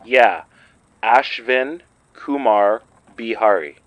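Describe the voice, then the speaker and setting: A man acting as a grim, tense man in an audio drama, speaking deliberately. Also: medium pitch, lively intonation, dry recording, dull audio. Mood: subdued. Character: grim, tense man confronting a friend's possession.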